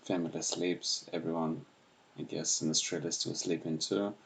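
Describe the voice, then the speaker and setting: A man from Ukraine with a Russian accent, speaking softly and unscripted at home in the early morning, with many pauses and fillers. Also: sits low, level tone, vocal fry, a little hiss or hum. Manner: speaks softly with frequent hesitations. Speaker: YouTuber. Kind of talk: unscripted livestream talk.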